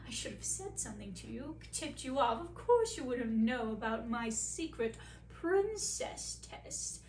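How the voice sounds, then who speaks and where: A woman's voice: a little high, big pitch swings, a little slow. A woman voicing a regal, scheming older queen who is sly and pleased with herself, speaking grandly and slipping into a knowing whisper. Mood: gracious. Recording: roomy, distant mic, faint hiss.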